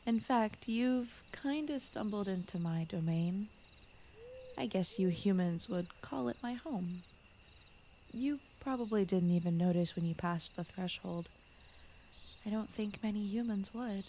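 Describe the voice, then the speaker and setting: A woman voicing a gentle, shy fox spirit who guards a forest, speaking softly and often in whispers in a soothing bedtime ASMR roleplay style. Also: mid-range voice, measured pace, a little hiss or hum, natural voice. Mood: gentle, curious. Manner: soft-spoken, often slipping into whispers, rambling, apologetic. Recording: clear night cricket and forest ambience. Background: crickets, insects, night forest ambience.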